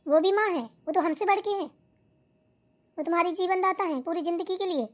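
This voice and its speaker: A woman voicing a caring older mother in a family cartoon, soothing her daughter and gently admonishing her. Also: very high-pitched, normal speed. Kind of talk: Hindi cartoon voice acting.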